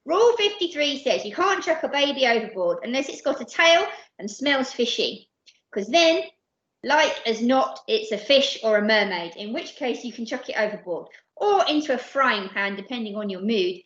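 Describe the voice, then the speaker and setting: A woman voicing a nervous, excitable male pirate lookout in a storybook, yelping and protesting. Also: fairly high pitch, normal speed. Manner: yelping, pleading, scolding. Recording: echoey room, slightly dull sound.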